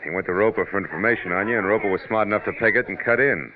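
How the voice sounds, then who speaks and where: Hard-boiled gumshoe played by a man, low voice, wry and reflective, 1940s radio drama, muffled vintage sound. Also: rapid-fire, crowd noise, natural voice. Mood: wry, tough. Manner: terse, slangy, hard-boiled. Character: world-weary tough male private detective, wry and reflective. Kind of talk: 1940s radio acting, noir narration. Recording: dull vintage radio recording with music.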